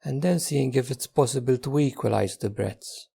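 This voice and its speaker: A man guides a meditation with gentle, unhurried instructions. His voice is husky and dark, with a gravelly creak at the edges. He leaves long pauses between short phrases. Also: mid-range voice.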